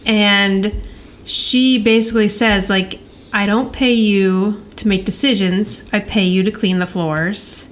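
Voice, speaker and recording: A woman with a mid-pitched voice talks casually as a podcast co-host, chatty and easygoing in her phrasing. She sounds like she is recapping a TV show in conversation, with noticeable noise on the recording. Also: fairly even intonation, slow pace.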